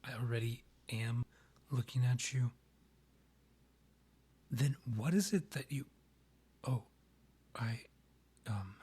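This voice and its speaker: Man playing a breathy, slow-spoken, proud vampire king. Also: mid-range voice, warm tone. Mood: flustered, guarded. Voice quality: breathy, often near a whisper. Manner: formal, courtly, halting when flustered. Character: proud, guarded vampire king still in love with ex. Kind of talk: romantic ASMR audio roleplay.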